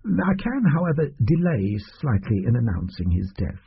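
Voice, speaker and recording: A man voicing a fat little male doctor, a wheedling fraudster who talks in hushed, fussy tones. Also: mid-range voice, very animated, moderate pace, creaky, slightly rough, muffled recording, full character voice.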